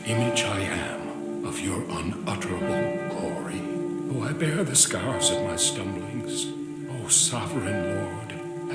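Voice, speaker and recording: A man playing a solemn priest who recites a reverent, declamatory prayer in a video game. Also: fairly low pitch. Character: solemn male priest praying at a funeral. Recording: echoey chapel sound under loud music.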